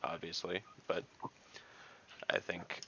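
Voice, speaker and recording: A man's voice: low-pitched, monotone, slow pace, creaky, bright, forceful tone, breathy edge, lo-fi sound. A man playing a tabletop game, talking through plans casually in a dry, matter-of-fact way. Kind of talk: casual in-game planning talk.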